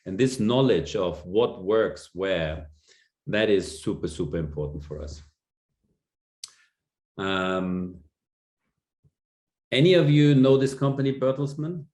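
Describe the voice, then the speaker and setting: Deep voiced german guy presenting slides, lots of ums, roomy echo. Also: lively intonation, slow, long pauses, darkish tone. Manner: hesitant, many ums and restarts. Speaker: lecturer. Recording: echoey room.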